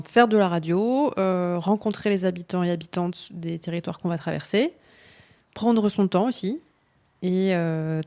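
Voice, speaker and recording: A woman co-hosting a bicycle radio project, reading a scripted presentation clearly with small hesitations. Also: on the low side, darkish tone.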